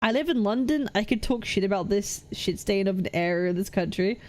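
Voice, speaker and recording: A young Welsh woman streaming live, chatting with her viewers and answering their comments in an animated, defensive way that trips over restarts. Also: mid-range voice, fairly quick. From Wales. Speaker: VTuber streamer.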